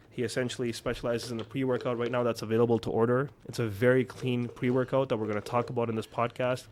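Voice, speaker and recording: Guy hosting a podcast, talks fast and lively, lots of restarts. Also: medium pitch. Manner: animated, quick storytelling with many restarts. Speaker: podcast host. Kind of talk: casual interview chat.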